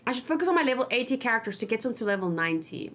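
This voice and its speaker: Excitable high-pitched woman streaming a game. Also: racing, background noise. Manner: excitable, exclaims and repeats phrases, thinks aloud. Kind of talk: casual let's play chat and live game commentary.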